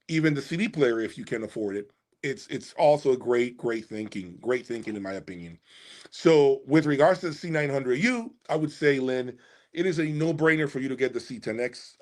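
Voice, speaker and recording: A man hosting a casual livestream about audio gear, answering viewers in an emphatic way and repeating phrases for emphasis. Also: mid-range voice. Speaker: host of an audio gear channel.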